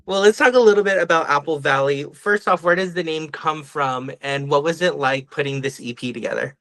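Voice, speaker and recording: A man's voice: a little high, a little fast, a bit bright. A man hosting a podcast interview in a casual, friendly and encouraging conversational way.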